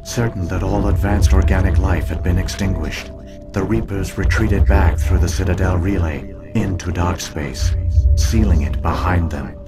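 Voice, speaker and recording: Deep, solemn ancient alien AI. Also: male voice, gravelly, music in the background. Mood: grave recounting. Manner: measured, grave, formal exposition. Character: ancient solemn alien AI, last keeper of a dead people. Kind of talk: scripted science fiction game dialogue.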